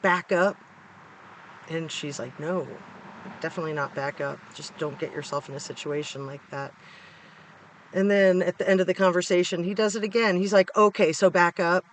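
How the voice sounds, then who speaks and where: A middle-aged American man speaks in a hoarse, stuffed-up voice that sits a little high. He talks informally and emphatically, like a vlogger sharing opinions with viewers. The recording is made inside a car, with some faint background noise. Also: fairly even intonation, moderate pace. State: hoarse, congested from a cold. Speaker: true-crime vlogger. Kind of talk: casual opinion commentary to viewers.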